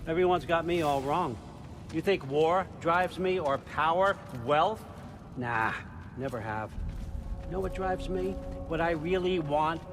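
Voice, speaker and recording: A man's voice: on the high side, a little slow. A man playing a cunning, aging patriarch of the gods in a video game, speaking in a conversational, coaxing way that can turn commanding. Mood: musing, persuasive. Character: cunning, persuasive old god, patriarch of gods. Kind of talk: cinematic video game acting. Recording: music under the voice.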